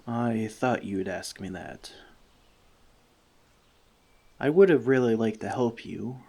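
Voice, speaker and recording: A man playing a friendly, thoughtful forest elf in a soft-spoken ASMR roleplay, speaking to the listener in measured, slightly formal words. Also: medium pitch, gravelly. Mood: reluctant, giving in. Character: friendly male forest elf, gentle, thoughtful, long-lived.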